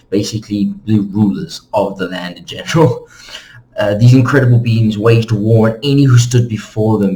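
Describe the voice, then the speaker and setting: A man with a fairly low voice hosts an informal explainer. He narrates in a casual, conversational way and speaks directly to his viewers. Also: rough recording. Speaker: host of a game lore channel.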